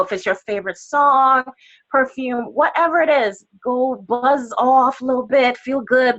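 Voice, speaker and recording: A woman from Trinidad with a Trinidadian accent, giving an energetic, chatty motivational sales talk on a team webinar, full of fillers and acted-out quotes. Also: a little high, muffled recording. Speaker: guest trainer on a team webinar. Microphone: webinar call audio.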